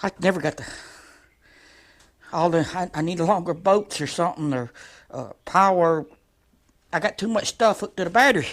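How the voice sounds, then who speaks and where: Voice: mid-range voice, normal speed, pauses often, a bit bright. A vlogger giving a casual, rambling update while working on a motorcycle in a shed, joking along in folksy, colloquial grammar. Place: shed workshop.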